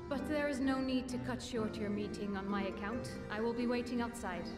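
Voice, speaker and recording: A courteous, reserved fantasy game character, played by a woman, speaks formally in a fairly high voice. It echoes in a hall beneath loud music. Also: fairly quick, close to an everyday voice. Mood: apologetic, polite.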